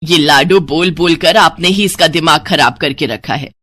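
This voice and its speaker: A woman voicing a strict middle-aged mother who nags and grumbles in a lowered voice. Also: normal speed, fairly bright tone, slightly rough. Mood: grumbling. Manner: nagging, grumbling.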